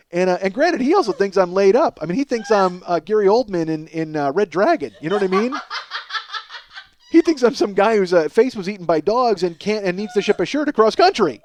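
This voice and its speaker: High-pitched man, animated comic storyteller. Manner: animated, exaggerating, acts out both sides of conversations. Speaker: podcast host. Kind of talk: comedic storytelling monologue, casual and profane.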